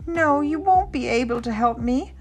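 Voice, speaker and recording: Storybook lost animal boy, played by a woman: very high, polite, anxious, pleading over soft background music. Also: very animated, moderate pace, full character voice. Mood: sad, despairing. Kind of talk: children's storybook reading.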